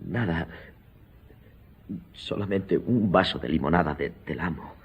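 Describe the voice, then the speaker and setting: An actor playing a devoted, suffering old male servant, husky and breathy, with weak, halting speech in a radio drama. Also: low voice, highs cut off, heavily acted.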